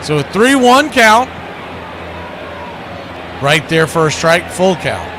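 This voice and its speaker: Higher-voiced man calling baseball over clear noise. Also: sing-song, takes it slowly. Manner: mixes clipped calls with conversational analysis. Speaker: baseball play-by-play announcer. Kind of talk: live baseball radio commentary.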